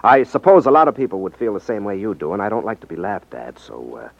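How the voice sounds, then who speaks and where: A sly, wry con man in an old radio drama. He sounds politely innocent and a little hesitant, with lively pitch movement on a dry, dull vintage recording. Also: fast, dry recording. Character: smooth, wry male ex-magician con man, feigning innocence. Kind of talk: 1950s radio drama acting.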